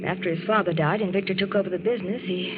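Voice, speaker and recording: A woman's voice: mid-range voice, steady tone, rapid-fire, lightly acted. A woman playing an anxious, secretive wife in a 1940s radio drama, speaking earnestly with hesitations. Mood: troubled. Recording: dull noisy 1940s radio recording.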